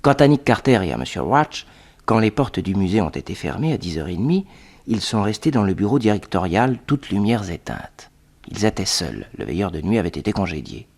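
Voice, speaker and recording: A man's voice: on the low side, big pitch swings, a little fast, a little mellow, husky, heavily acted. An actor narrating as a young male sidekick in a radio drama, telling the story evenly. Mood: steady. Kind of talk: scripted narration.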